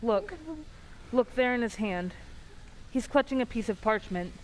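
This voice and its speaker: A woman playing a calm, reassuring, matter-of-fact small-town sheriff in an old-time radio drama. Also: fairly high pitch, a little slow, slight background noise, close to an everyday voice, low-quality recording. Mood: grave.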